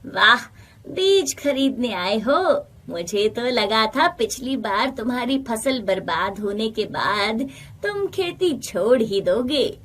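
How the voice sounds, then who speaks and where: A woman voicing a deceitful, envious village man in an animated moral story, loudly and falsely friendly. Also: on the high side, slow, exaggerated voice. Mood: mocking, falsely jovial.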